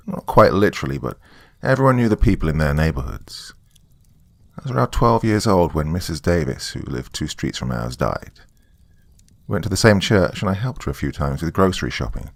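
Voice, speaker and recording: A man reading a scripted first-person horror story as its narrator, in a subdued, measured storytelling manner. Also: deep voice, gravelly, dry recording.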